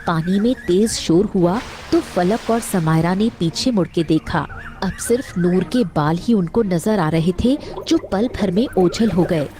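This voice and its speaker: A woman's voice: fairly low pitch, measured pace, warm tone. A woman narrating a scripted cartoon story as its storyteller.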